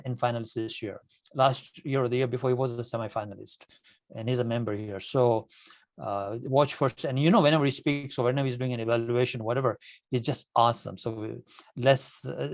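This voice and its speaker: A man from Kenya, a native Swahili speaker, addressing club members informally in a halting, repetitive, emphatic manner. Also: medium pitch, a little mellow, no room sound. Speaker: club leader addressing members at an online meeting. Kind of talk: informal motivational meeting talk.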